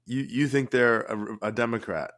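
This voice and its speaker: A man with a cold, co-hosting a podcast in a low, mellow voice, joking and rambling with restarts. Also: steady tone, moderate pace. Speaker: podcast co-host, former cruise director. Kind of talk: casual podcast chat.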